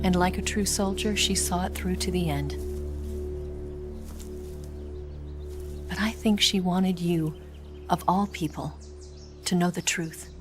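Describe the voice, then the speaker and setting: An actress playing a solemn, sorrowful female spy in a video game, speaking gravely and deliberately. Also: lowish voice, close to an everyday voice. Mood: tender, subdued. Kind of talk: dramatic video game monologue. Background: loud orchestral-style background music.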